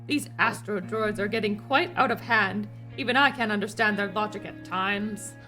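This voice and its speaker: A woman's voice: mid-range voice, moderate pace, sharp tone, faint music behind, natural voice. A woman playing an anxious, polite, fussy robot servant in an amateur table read of a film script. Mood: prim, fussy. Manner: prim, formal, fussy. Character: anxious, polite, deferential male protocol droid.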